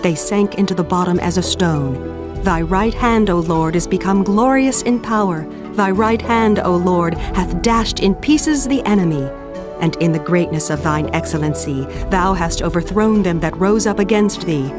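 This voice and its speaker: A woman's voice: lowish voice, normal speed, music in the background, lightly acted. A woman voicing a devout Israelite, declaiming reverent praise in a dramatized scripture recitation.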